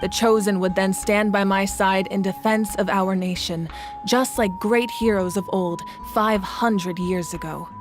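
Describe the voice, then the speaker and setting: A woman playing a composed, grave ruler in a video game, speaking in measured, deliberate lines. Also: mid-range voice, fairly even intonation, close to an everyday voice. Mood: solemn, steady. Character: solemn, composed woman war-god ruler of a nation. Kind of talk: video game voice acting, dramatic exposition. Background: game music.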